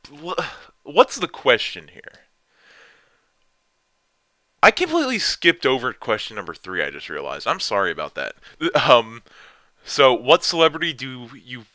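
A young man with a deep voice, rambling and self-deprecating as he answers viewer questions in a casual vlog. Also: pushed and bright, smooth, no echo, clean studio sound.

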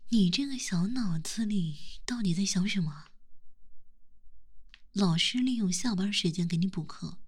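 A stern yet doting male teacher and husband, as a woman voices him, whispering close to the ear in an ASMR roleplay. The voice is breathy and husky, sitting at a middling pitch and kept soft and intimate throughout. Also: expressive, unhurried, pauses often.

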